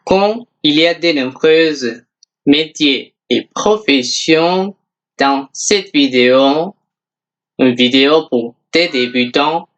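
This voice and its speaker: A language teacher speaks at an extremely slow, careful pace, in a medium-pitched voice. The delivery is scripted and instructional, made for beginners, with example phrases said and then repeated. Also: fairly bright tone.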